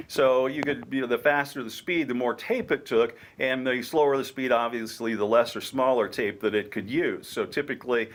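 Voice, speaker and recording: A man's voice: mid-range voice, a bit bright. Conversational man presenting a show-and-tell. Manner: explanatory, conversational. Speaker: radio station host. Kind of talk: informal product show-and-tell.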